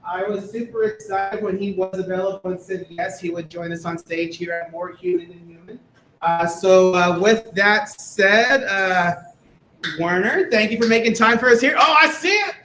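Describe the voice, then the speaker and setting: A man's voice: high voice, moderate pace, pushed and bright, a little hiss or hum. A man hosting a conference session in a casual, upbeat way, with lots of fillers, speaking on a stage mic in a hall. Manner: upbeat, filler-heavy, chatty. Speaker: event host. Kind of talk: casual event emceeing. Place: conference stage, echoey room.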